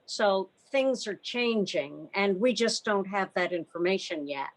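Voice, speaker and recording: A woman with a medium-pitched voice talks through points in a club meeting. She is measured and explanatory, speaking over a video call. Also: expressive, rough recording. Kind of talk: meeting discussion.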